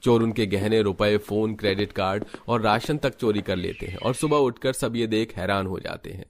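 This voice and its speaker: A man's voice: fairly low pitch, moderate pace, a little hiss or hum. A man narrating a scripted cartoon family story.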